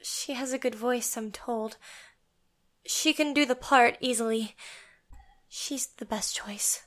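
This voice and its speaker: A woman's voice: a little high, slow pace, a bit bright. A woman playing a frightened, hesitant young opera singer in an audio drama. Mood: resigned, reluctant.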